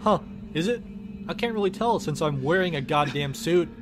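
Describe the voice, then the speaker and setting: A man's voice: on the high side, animated, moderate pace, noisy background. A man performing an exasperated, foul-mouthed space engineer in a comedic game parody, with a sarcastic, ranting delivery. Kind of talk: comedic scripted parody dialogue. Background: game music.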